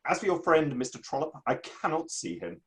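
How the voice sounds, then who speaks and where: A man's voice: on the high side, sing-song, a little fast. A man playing an easily affronted Victorian literary man in a play reading, declaiming in grand, formal, old-fashioned phrases. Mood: indignant, proud. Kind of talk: online dramatic play reading.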